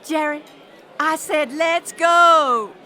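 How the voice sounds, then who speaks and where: A woman's voice: sits high, extremely slow, sharp tone, heavily acted. A woman playing a bossy, bitter young wife in an audiobook drama, indignant and commanding. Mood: disgusted, furious. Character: bitter, bossy, furious daughter-in-law.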